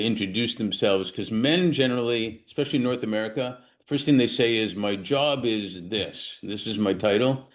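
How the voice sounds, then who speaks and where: A man hosting a casual interview series, chatty and jokey, laughing often between questions. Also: medium pitch. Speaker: interview host.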